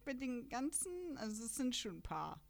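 A woman's voice: a little high, animated, moderate pace, gravelly. A woman hosting a casual podcast chat about radio dramas, chatty and laughing often as she talks. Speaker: podcast host.

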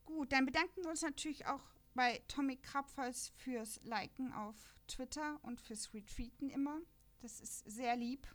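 A chatty woman hosts a relaxed podcast conversation and often laughs mid-sentence. Her voice sits a little higher than most. She keeps the talk moving in an easygoing way. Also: moderate pace. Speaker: podcast host. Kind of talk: casual podcast chat about radio dramas.

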